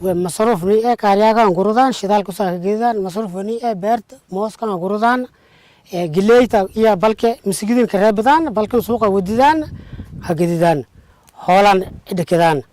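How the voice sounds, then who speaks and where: Male community interviewee, high-pitched voice, spontaneous testimony, dry acoustic. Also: a little fast, a little mellow, no room sound.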